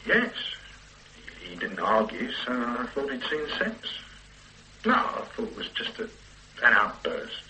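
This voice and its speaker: A man's voice: medium pitch, wildly swooping pitch, unhurried, bright, forceful tone, slight background noise, heavily acted, low-quality recording. An actor playing an ordinary middle-aged man in a radio play, recounting events in a subdued, matter-of-fact way. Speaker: witness recalling a conversation. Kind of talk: radio drama acting.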